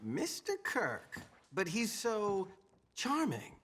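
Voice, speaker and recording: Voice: mid-range voice, wildly swooping pitch, takes it slowly, fairly bright tone, husky, exaggerated voice. An actor playing a polite, starry-eyed hotel clerk in an Old West game, genteel and gushing. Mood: intrigued, gushing. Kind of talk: cinematic western game acting.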